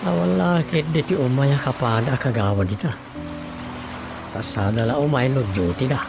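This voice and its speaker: A man acting a comic, flustered village chairman in an Ilocano radio drama, muttering and exclaiming to himself. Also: medium pitch.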